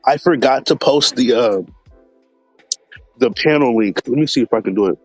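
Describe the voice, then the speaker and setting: A man hosting a casual live stream, talking in a relaxed, slangy way and sounding a bit stuffed up from allergies. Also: medium pitch, moderate pace, no echo, faint music behind. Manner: laid-back, slangy, many fillers, greets chat viewers by name.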